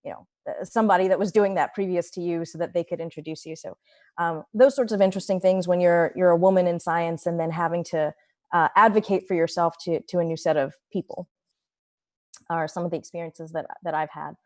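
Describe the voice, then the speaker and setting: A woman's voice: fairly low pitch, fairly even intonation, a little fast, darkish tone, no room sound, studio quality. A woman scientist reflecting thoughtfully as a panelist over a video call, with many fillers and restarts.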